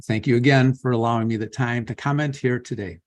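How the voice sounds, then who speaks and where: Man, earnest public commenter, measured delivery, video call audio, mid-range voice. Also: medium pitch, fairly even intonation. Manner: earnest, measured, spells out his name slowly. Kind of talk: prepared public testimony.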